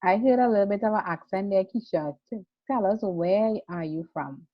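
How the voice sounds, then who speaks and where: A woman's voice: medium pitch, normal speed, highs cut off. A woman hosting a casual interview, chatty and encouraging toward her guest.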